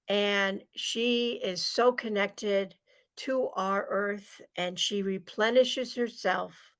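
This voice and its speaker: Slow-paced woman hosting an interview. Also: mid-range voice. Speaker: host, interviewer.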